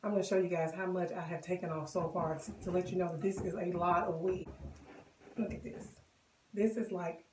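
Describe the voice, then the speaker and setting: A woman with a cold and a hoarse voice, chatting casually to viewers as she walks them through a wig styling tutorial. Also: on the low side, fairly even intonation, a little fast, darkish tone, distant mic, a little hiss or hum. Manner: chatty, addresses viewers directly. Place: bathroom. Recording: echoey bathroom, voice off-mic.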